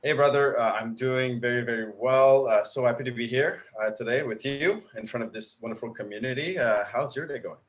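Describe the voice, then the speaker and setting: A man's voice: medium pitch, fairly quick, few pauses. A man in his thirties, French Canadian, with English as a second language, telling his business story in a live video call with earnest, filler-laden speech. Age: 30s. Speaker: guest coach. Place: indoors at home, bright room. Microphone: laptop webcam video call.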